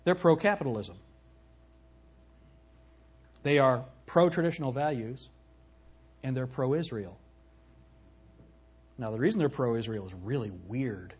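A man preaching a sermon from the pulpit, emphatic, swinging from loud peaks to low, quiet lines. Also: animated, a little slow, long pauses, slightly rough. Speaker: pastor.

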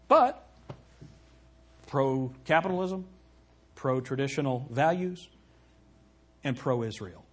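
A man preaching a sermon from the pulpit, emphatic, swinging from loud peaks to low, quiet lines. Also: slightly rough. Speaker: pastor.

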